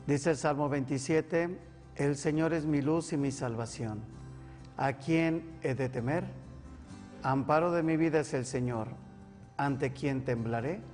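A man co-hosting a religious call-in show, calmly reading out phone numbers and a psalm. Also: fairly high pitch, measured pace, big-room sound, faint music behind. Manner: calm, recites scripture. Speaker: co-host. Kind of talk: live call-in broadcast with prayer.

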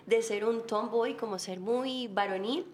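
A woman, a guest telling her life story in a relaxed chat, laughing often. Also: on the high side, a little slow. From Colombia. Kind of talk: casual interview chat.

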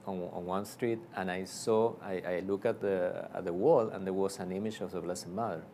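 A man's voice: low voice, steady tone, moderate pace, mellow, slight background noise. A man from Argentina with an Argentine Spanish accent, a priest telling his story in a casual interview, with many fillers and restarts.

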